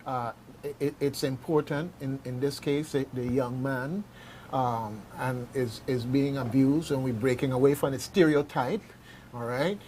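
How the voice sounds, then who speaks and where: A man's voice: mid-range voice, steady tone, a little slow, a little mellow, a little hiss or hum, poor audio. A man hosting a casual radio discussion, informal and jocular, often repeating his phrases as he goes. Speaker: radio host.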